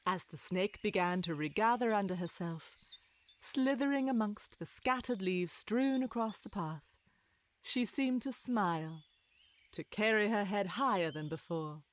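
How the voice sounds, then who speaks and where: A woman reading scripted storybook narration in a measured, expressive storytelling manner. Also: medium pitch.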